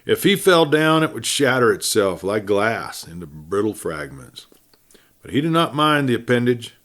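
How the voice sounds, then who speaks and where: A man narrating a classic adventure story in a measured storytelling way, with pauses and phrases that fall away at the end. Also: medium pitch, sing-song, fairly bright tone. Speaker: audiobook narrator and host.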